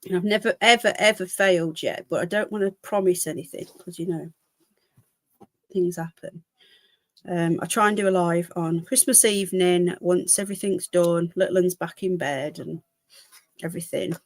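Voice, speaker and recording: A woman's voice: lowish voice, fairly even intonation, moderate pace, no room sound. A British woman with a congested voice hosts a livestream in a casual, rambling way, chatting to viewers and easily drifting into asides. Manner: chatty, easily distracted, jumping between asides. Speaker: livestream host.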